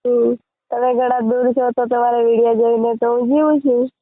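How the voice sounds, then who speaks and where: A woman's voice: a little high, little pitch movement, normal speed. A young woman with a Gujarati accent calling for a casual chat, answering briefly and colloquially. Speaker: caller. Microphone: phone line. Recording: muffled lo-fi phone call recording.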